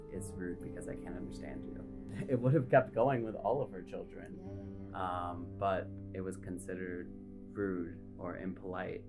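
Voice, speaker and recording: Woman, low voice, gravelly, casual interview talk, guitar music behind. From Texas, Tejano family. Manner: casual, relaxed storytelling with many fillers. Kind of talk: documentary interview.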